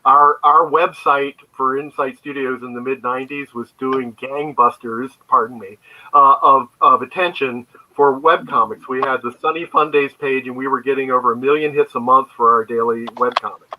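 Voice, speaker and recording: A man speaking as a creator guest in an interview on an online call, telling stories conversationally in a halting way. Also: medium pitch, fairly even intonation, narrow, tinny audio. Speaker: guest, comic book creator. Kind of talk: conversational interview answers, storytelling. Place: remote online video call.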